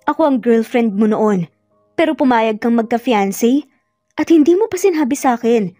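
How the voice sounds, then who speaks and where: A wounded, guarded young woman reproaches an old love in a dramatic romance tale, as a woman storyteller acts it. The voice is fairly high but mellow, over music. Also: lively intonation, moderate pace, dry recording, studio quality, lightly acted. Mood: reproachful.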